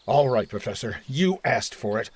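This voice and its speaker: Man playing a commanding, lively-pitched army colonel. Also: normal speed, heavy background noise, close to an everyday voice. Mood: stern, commanding. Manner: barking, authoritative. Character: hard-line, commanding army colonel, ruthless and suspicious. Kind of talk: old-time radio sci-fi drama acting.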